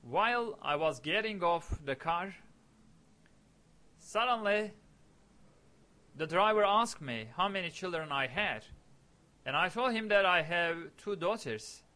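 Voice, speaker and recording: Slow, bright-voiced man. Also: high voice, lively intonation. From eastern Turkey. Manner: slow, deliberate, repeats phrases as he searches for words. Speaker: storyteller. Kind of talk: personal anecdote told in English.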